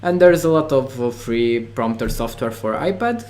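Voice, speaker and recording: A man with a Romanian accent talks in an interview, pausing often with fillers and restarting his sentences. English is not his first language, and his voice sits at an ordinary middle pitch for a man. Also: animated, darkish tone. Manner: hesitant, many fillers and restarts. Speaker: online course creator. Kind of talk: interview conversation.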